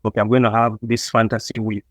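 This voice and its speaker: A man's voice: fairly low pitch, little pitch movement, fast talker, dry recording, clean studio sound. A man with a Nigerian accent answering as an interview guest, talking reflectively and conversationally. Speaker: comic book creator, interview guest.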